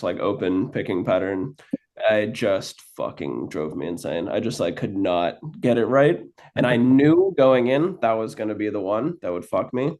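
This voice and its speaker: A man's voice: medium pitch, darkish tone. A man, a band's guitarist, answering interview questions in a laid-back, loose way with casual swearing.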